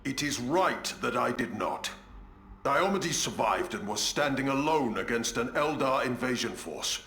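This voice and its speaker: A man's voice: mid-range voice, lively intonation, measured pace, roomy sound, a little hiss or hum. A man playing a noble, zealous, battle-hardened space-marine commander in a video game, with a heroic, declamatory delivery. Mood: grave, defiant. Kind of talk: dramatic video game acting. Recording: loud music over the voice.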